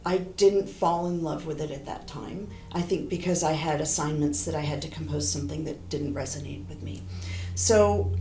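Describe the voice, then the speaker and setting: A middle-aged woman, a horn player and composer, talking in a relaxed, thoughtful interview and often restarting her sentences. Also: roomy sound, slight background noise. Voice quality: low voice. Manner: thoughtful, rambling, frequent restarts.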